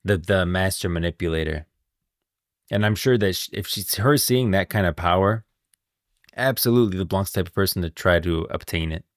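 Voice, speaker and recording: A man's voice: low-pitched, normal speed, no room sound, clean studio sound. A man giving casual live commentary as a game lore streamer, informal and musing aloud.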